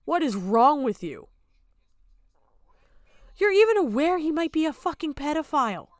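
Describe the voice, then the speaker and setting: A woman's voice: sits high, big pitch swings, normal speed, no echo, clean studio sound. A woman streamer giving a casual, blunt reaction rant, swearing heavily and indignantly.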